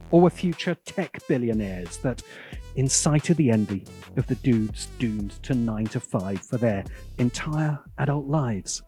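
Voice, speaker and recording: A man's voice: mid-range voice, a little mellow. A man hosting a scripted video essay, narrating with sardonic, dramatic emphasis. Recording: music playing behind the voice.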